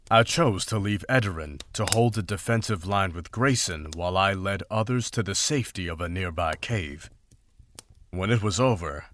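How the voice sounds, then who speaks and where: Guy acting as a war-weary monster hunter, really low voice, solemn and guilt-haunted, visual novel style. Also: fairly bright tone, a little hiss or hum. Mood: remorseful. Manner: solemn, formal, measured storytelling. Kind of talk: visual novel voice acting, dramatic journal narration and dialogue.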